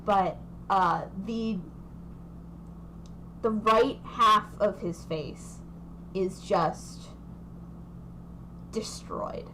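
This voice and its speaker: A woman's voice: medium pitch, very slow, pauses often, sharp tone, slight background noise, rough recording. A young woman running a tabletop game over voice chat, describing scenes in a rambling way with many fillers. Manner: rambling, lots of fillers and restarts. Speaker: game master.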